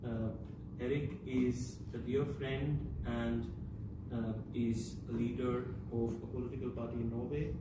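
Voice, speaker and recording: A man's voice: medium pitch, flat delivery, unhurried. A man moderating a panel, polite and hesitant with many fillers. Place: large conference room. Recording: echoey room, distant mic, loud noise.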